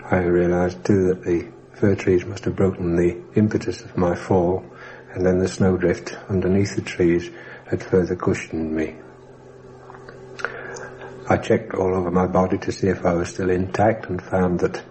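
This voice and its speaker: Very deep, mellow, even-toned man recollecting. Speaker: air crash survivor recounting his wartime experience. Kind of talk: first-person recollection for a radio documentary. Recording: dull, hissy 1960s radio documentary recording.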